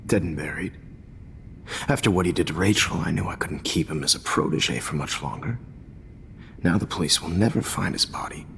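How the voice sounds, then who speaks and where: A man's voice: low voice, normal speed, gravelly, fairly bright tone, slight background noise. A man voicing a smug, manipulative photography teacher in a video game, taunting and often whispering. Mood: menacing. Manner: taunting, mocking, drops into whispers.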